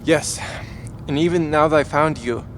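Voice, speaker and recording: Higher-pitched, hesitant young man played by an actor. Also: slight background noise, close to an everyday voice. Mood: unsure, worried. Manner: hesitant, halting, unsure. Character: earnest, anxious young male novice spaceship traveler. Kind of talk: audio drama dialogue.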